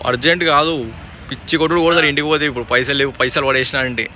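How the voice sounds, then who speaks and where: A man's voice: mid-range voice, steady tone, racing, sharp tone. A young man with a Telangana accent chatting casually in an informal, slangy way, repeating himself. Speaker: vlogger. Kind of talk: casual chat to camera and on a phone call. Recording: faint outdoor traffic noise.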